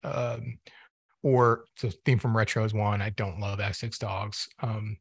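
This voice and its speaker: A man co-hosting a music podcast, giving casual album critique in a measured, thinking-aloud way. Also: medium pitch, a bit bright, dry recording, clean studio sound.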